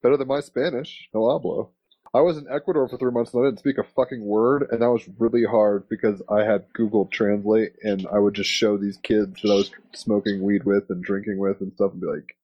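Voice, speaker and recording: A man's voice: lowish voice, fairly even intonation, a little fast, breathy edge, dry recording. A man chatting casually as an interviewer, with an informal, slangy way of talking. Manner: informal, slangy, swears freely. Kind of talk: casual chat. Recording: dull, lo-fi, dry audio.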